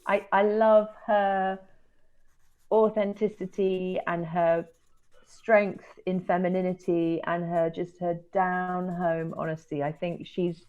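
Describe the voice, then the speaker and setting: A woman's voice: on the low side, flat delivery, takes it slowly. Enthusiastic woman guest on lo-fi audio. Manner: thoughtful, enthusiastic, laughs easily. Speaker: guest, speaking coach. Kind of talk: conversational interview.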